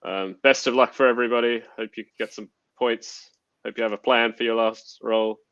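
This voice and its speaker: A man's voice: medium pitch, flat delivery, normal speed, a bit bright, no room sound. A man hosting a board game play-along with casual commentary and chat, in slightly lo-fi audio.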